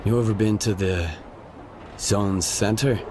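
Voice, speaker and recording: An actor playing a terse, determined mercenary loner in a video game, speaking bluntly in few words. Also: male voice, lowish voice, fairly even intonation, measured pace, background noise, close to an everyday voice. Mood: wary, dry. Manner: laconic, blunt. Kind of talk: video game cutscene dialogue.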